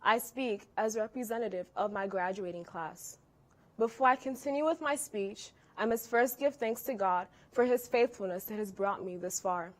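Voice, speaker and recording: A woman's voice: medium pitch, moderate pace. A teenage girl whose first language is Yoruba, giving a formal, grateful valedictorian speech. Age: teens. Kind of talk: prepared ceremony speech.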